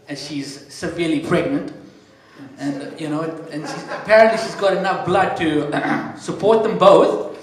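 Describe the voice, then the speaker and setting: A man with a South African accent compering a stand-up comedy night, dropping deadpan one-liners and chatting to the crowd from the stage. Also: high-pitched. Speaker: comedy show MC. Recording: very echoey room.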